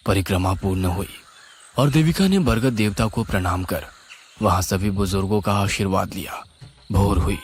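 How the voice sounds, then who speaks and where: A man tells a scripted horror story with suspense and drama. His voice is on the low side and swings expressively in pitch. Music plays clearly behind him. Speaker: storyteller, narrator.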